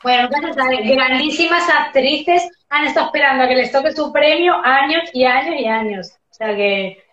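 A woman's voice: high-pitched, moderate pace, a bit bright. A woman interviewing a guest in a casual, friendly way, with hesitant restarts as she asks her questions. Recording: roomy and dull sound.

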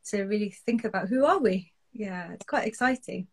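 A woman's voice: mid-range voice, normal speed, slightly rough. Chatty British-born woman podcast co-host. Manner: chatty, expressive, lots of fillers. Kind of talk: casual chat.

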